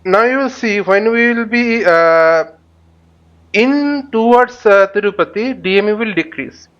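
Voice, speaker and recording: High-pitched man, dull recording. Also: lively intonation, a bit bright. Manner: halting, repeats words and phrases. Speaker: flight simulator instructor. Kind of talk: spoken tutorial while flying a simulator. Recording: dull lo-fi microphone sound.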